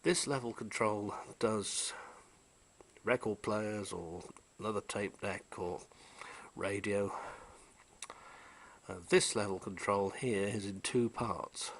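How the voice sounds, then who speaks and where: This is a man with a fairly low voice, talking through a piece of old equipment in a relaxed, hands-on way. He is technical but unhurried, and he drops in "uh" between phrases as he shows each part. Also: creaky. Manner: unhurried, technical, with frequent "uh" pauses. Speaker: vintage electronics restorer. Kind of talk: casual show-and-tell demonstration.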